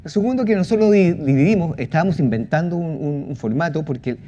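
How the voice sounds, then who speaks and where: A man explaining a project in a talk, halting, with false starts and drawn-out pauses. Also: fairly high pitch, animated, mellow. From Chile. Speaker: podcast creator giving a presentation. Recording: muffled audio with clear background noise.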